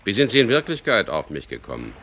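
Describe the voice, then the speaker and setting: An actor playing a dry, businesslike skipper in a radio crime drama, with a gravelly voice. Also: male voice, lowish voice, wildly swooping pitch, fast, pushed and bright, slight background noise, exaggerated voice. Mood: brisk, probing. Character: wary, businesslike skipper of a chartered sailboat, also narrating. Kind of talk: 1970s German radio crime drama, narration and dialogue.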